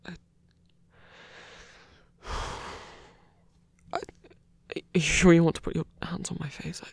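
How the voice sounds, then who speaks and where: A man playing a shy, insecure frost giant trickster god in an intimate ASMR roleplay, breathy and halting, close to the microphone. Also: fairly high pitch, level tone, no room sound, full character voice. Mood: disbelieving, tender. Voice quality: breathy, whispery. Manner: hesitant, halting, stammering. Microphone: close microphone, dry.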